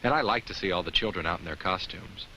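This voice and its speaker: An actor voicing a friendly, caring talking jack-o'-lantern who narrates a children's safety film in simple, instructive words addressed straight to kids. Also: male voice, deep voice, fast talker, pushed and bright, a little hiss or hum, low-quality recording. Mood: caring, cautionary. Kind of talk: scripted educational narration for children.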